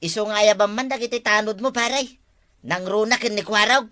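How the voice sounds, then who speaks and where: A woman's voice: medium pitch, fairly quick, a bit bright, dry recording, clean studio sound, lightly acted. A woman playing a fretful, nosy village neighbor in an Ilocano comedy drama. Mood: nagging.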